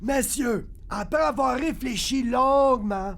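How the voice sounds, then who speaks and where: An excitable cartoon schemer in an extremely high, scratchy, creaking voice. He has a Quebec French accent and a teasing, comic delivery, with clear noise in the recording. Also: measured pace, full character voice. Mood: triumphant, scheming.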